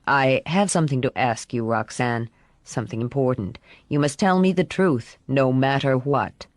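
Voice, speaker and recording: A woman narrator puts on a grave, protective father for an audiobook. The man speaks low, with formal wording and measured restraint. The recording is dry. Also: no room sound. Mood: grave, gentle. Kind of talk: audiobook character voice.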